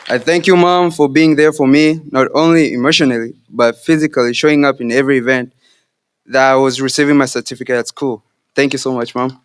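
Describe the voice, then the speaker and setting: A man's voice: mid-range voice, clean tone. A young man from Kenya, a Swahili speaker, giving an earnest, devout graduation speech. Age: young adult. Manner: earnest, devout, measured delivery.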